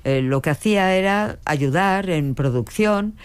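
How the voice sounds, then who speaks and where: A woman's voice: on the low side, animated, unhurried, clear, resonant tone. An older woman, a veteran dubbing actress with a strong voice, answering interview questions with frequent hesitations and restarts. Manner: hesitant, many fillers and restarts.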